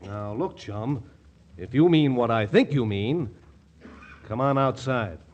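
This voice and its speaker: An actor playing a brash, dim, jovial young ex-athlete fiancé in a 1940s radio comedy. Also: male voice, mid-range voice, animated, takes it slowly, darkish tone, lo-fi sound. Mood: suspicious, blustering. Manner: blustery, chuckling at his own wit. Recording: vintage 1940s radio broadcast.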